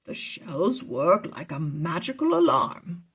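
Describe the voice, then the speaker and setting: A woman reads as a kindly elderly wizard from a children's storybook. He is calm and reassuring, explaining things slowly and patiently in a very husky voice. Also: fairly low pitch, exaggerated voice. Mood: serious, reassuring.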